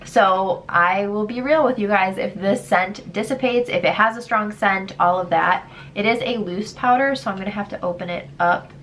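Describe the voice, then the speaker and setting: A woman's voice: mid-range voice, moderate pace, few pauses, a bit bright, slight background noise. Congested young woman vlogging a try-on. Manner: chatty, candid, reads product blurbs aloud. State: congested, sick. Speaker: beauty vlogger. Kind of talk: casual product try-on chat.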